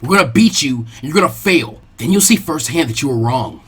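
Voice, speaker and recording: A man's voice: mid-range voice, animated, brisk, husky, voice pushed down. A man voicing a hot-headed, determined young ninja boy, blunt and defiant. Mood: cold anger.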